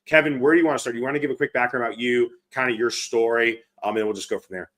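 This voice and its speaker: Upbeat host guy, talks fast, lots of "i love it", video call. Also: mid-range voice, steady tone, fairly bright tone. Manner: enthusiastic, quick to affirm. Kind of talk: casual interview.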